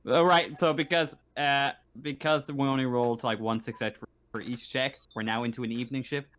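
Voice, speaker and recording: A man's voice: medium pitch, fairly even intonation, normal speed, a bit bright. A man running a tabletop game casually as game master, hesitant and rambling, with an apologetic manner.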